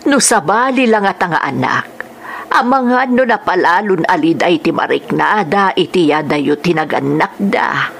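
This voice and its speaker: A woman playing a gentle, caring grandmother in a radio drama, with a Filipino accent and a hesitant, drawn-out delivery. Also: lowish voice, lively intonation, vocal fry, slight background noise. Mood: concerned, soothing. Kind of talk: Ilocano radio drama acting.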